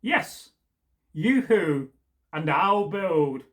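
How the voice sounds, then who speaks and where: Storybook wee boy, slow and defiant, read by a man in a raised voice. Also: fairly high pitch, lively intonation. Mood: cheeky. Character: young wee boy answering a stranger.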